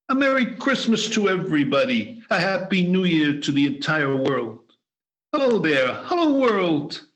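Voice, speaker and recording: A man's voice: fairly high pitch, a bit bright, full character voice. An actor playing a giddy, overjoyed old man in a radio play, exuberant and stammering. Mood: giddy joy. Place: performed remotely over a video call.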